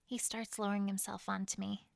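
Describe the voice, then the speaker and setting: A woman playing a proud, conflicted pony queen, telling her story in first person in a dramatic, expressive fan fiction reading. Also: mid-range voice, fairly quick, vocal fry, no room sound, studio quality. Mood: conflicted, breathy.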